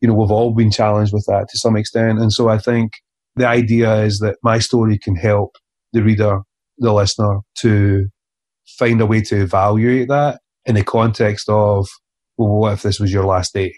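Earnest, halting man. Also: lowish voice, level tone, a little mellow. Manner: earnest, halting, many fillers and restarts. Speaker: author guest. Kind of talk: reflective interview conversation.

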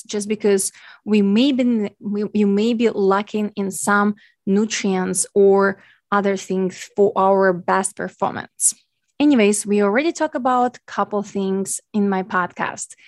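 A woman's voice: medium pitch, no room sound, studio quality. A woman from Ukraine with a Ukrainian accent, speaking English as a second language, hosting her own podcast as a nutrition coach in an earnest, thankful way. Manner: earnest, grateful, non-native phrasing. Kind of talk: solo podcast monologue.

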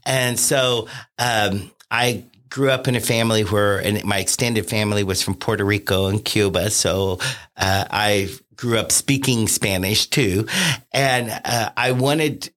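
A man speaking as a podcast guest in an earnest, measured, reflective way. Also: lowish voice, steady tone, a bit bright. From Cincinnati area. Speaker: podcast guest, consultant and franchisee. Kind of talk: conversational interview.

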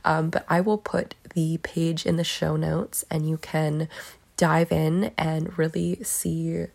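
A woman in her thirties hosting her own podcast solo, gushing and affectionate, swearing casually, hoarse as she is losing her voice. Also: low voice, level tone, slow, darkish tone. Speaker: podcast host.

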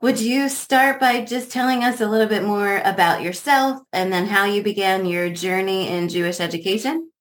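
Earnest woman hosting, mid-pitched voice. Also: moderate pace, hardly any pauses. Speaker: podcast host. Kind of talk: podcast introduction and interviewing.

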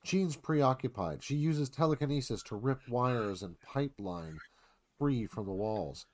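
A man's voice: mid-range voice, animated, a little slow. A man reading scripted stage directions as the narrator of a live radio theatre performance.

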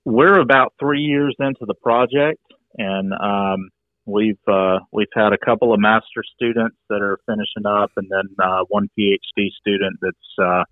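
Male biologist guest, forties, measured explainer, low voice, dry phone-line sound. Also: fairly even intonation, dry recording. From Georgia, USA. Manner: measured, matter-of-fact explainer. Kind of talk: explanatory interview answers.

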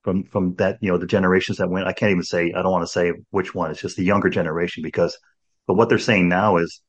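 A man's voice: low voice, fairly even intonation, quick pace, slightly rough, muffled recording. A man in his sixties hosting a podcast in casual conversation, rambling with many restarts and "you know"s. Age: 60s. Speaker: podcast host.

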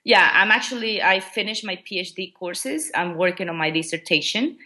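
A woman's voice: on the low side, normal speed, sharp tone. A woman from Ecuador whose first language is Spanish, answering interview questions in English with frequent ums and restarts. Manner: hesitant, many ums and restarts. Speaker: guest, education coordinator.

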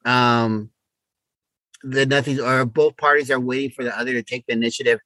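A man's voice: mid-range voice, steady tone, normal speed, pushed and bright, dry recording, rough recording. A middle-aged man co-hosting a podcast in casual, joking chat, with a blunt, exasperated way of talking and some swearing. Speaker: podcast co-host.

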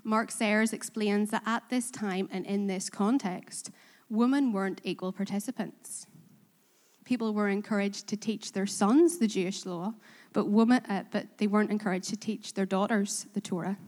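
A woman's voice: mid-range voice, steady tone, normal speed, darkish tone. A woman with a Korean accent preaching a sermon in church, earnest and self-deprecating, asking the congregation questions. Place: church in Belfast. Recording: reverberant hall.

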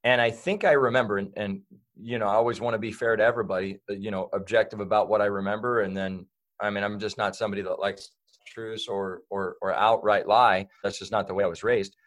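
A man with a somewhat low voice tells his story in a loose, rambling way. He fills gaps with "um" and "you know" and often restarts his sentences. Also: steady tone, brisk, fairly bright tone. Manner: rambling, many fillers and restarts. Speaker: guest. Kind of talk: casual interview talk.

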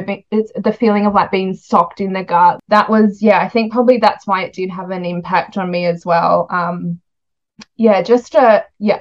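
A woman's voice: lowish voice, lo-fi sound. A woman hosting a podcast interview about books in a chatty style. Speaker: podcast host. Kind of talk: podcast interview, warm book chat.

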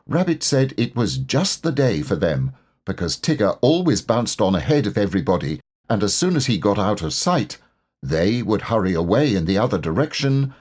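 Guy reading a kids story, very animated, lowish slightly husky voice. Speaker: storybook reader. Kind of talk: expressive scripted storytelling.

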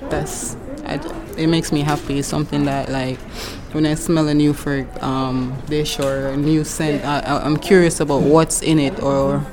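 A woman's voice: deep voice, flat delivery, normal speed, hardly any pauses, noisy background. A woman from Jamaica, a culinary student, talking hesitantly and searching for words.

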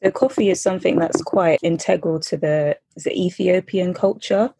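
Woman, interviewer, husky voice, casual, lots of ums. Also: on the low side, moderate pace, mellow, no room sound, rough recording. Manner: casual, many fillers and backchannels. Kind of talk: interview questions.

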